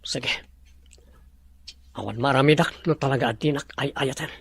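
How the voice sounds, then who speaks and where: A man's voice: medium pitch, moderate pace, gravelly, husky. A man playing a proud, aggrieved rival in love in an Ilocano audio drama. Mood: hurt.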